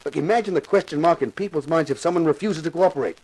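An actor playing a wisecracking, persuasive TV detective star turned amateur sleuth in a 1950s radio detective drama. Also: male voice, on the high side, quick pace, darkish tone, breathy edge. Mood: excited, persuasive. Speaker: lead actor and narrator. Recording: vintage 1950s radio recording.

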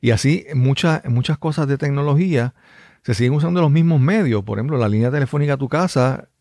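Puerto rican guy hosting an interview, medium pitch, wordy and conversational, really dry sound. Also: a little fast, darkish tone, no echo. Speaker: interviewer, host.

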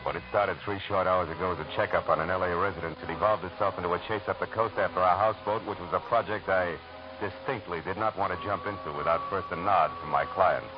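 An actor playing a dry, wisecracking private detective who also narrates, in a 1940s radio drama. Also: male voice, low-pitched, steady tone, fast, dull audio, over music, close to an everyday voice. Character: hard-boiled male private eye, dry and wisecracking. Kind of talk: 1940s radio acting with first-person narration. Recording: hissy old radio broadcast recording.

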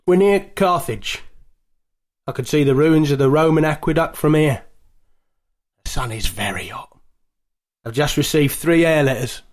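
A man's voice: a little high, close to an everyday voice. A man reading as a plain-spoken sergeant-major. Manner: plain, conversational diary reading. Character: British army sergeant-major, plain and unflappable. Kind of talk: reading a wartime diary aloud.

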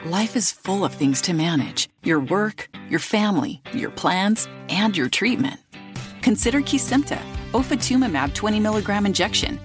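Music plays clearly behind a woman reading a scripted radio commercial. Her mid-pitched voice delivers a pharmaceutical ad as a voice-over. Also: animated, moderate pace. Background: music bed.

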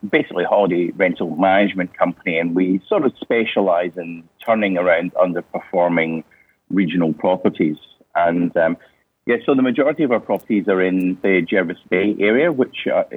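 Scottish man, very deep husky voice, tinny phone line, hesitant. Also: lively intonation, moderate pace, no room sound. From Scotland. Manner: hesitant, many restarts and fillers. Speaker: interview guest, business owner. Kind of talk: casual interview answers. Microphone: phone call.

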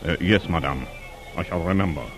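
An actor playing an obliging waiter who is secretly an agent in a 1940s radio drama, deferential and hesitant. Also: male voice, sits low, brisk. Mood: hushed, furtive.

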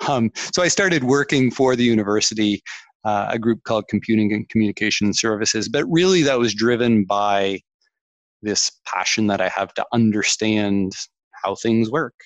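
A Canadian man with a fairly low voice speaks as a podcast guest. He sounds curious and reflective, often restarting his sentences. The recording is dry and close, with no room sound. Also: moderate pace, no echo. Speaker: tech executive guest. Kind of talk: podcast interview.

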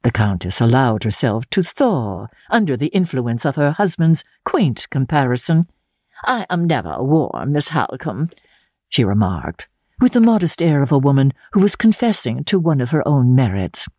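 A woman reading literary fiction as an audiobook narrator, with measured, theatrical delivery. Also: very deep voice, sing-song, dark tone, no echo, clean studio sound. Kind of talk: dramatic literary narration, first-person diary.

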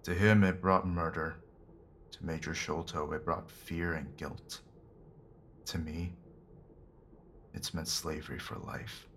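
An actor playing a bitter, resigned captured criminal in a mystery audio drama, brooding and measured. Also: male voice, deep voice, little pitch movement, fairly bright tone, slight background noise.

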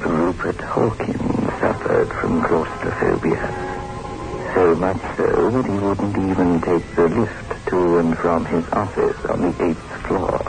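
An old radio horror recording with music behind the voice. a sinister male host with a very low voice, teasing the listener with slow, ominous deliberation. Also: low-quality recording. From South Africa. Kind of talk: 1960s radio horror narration.

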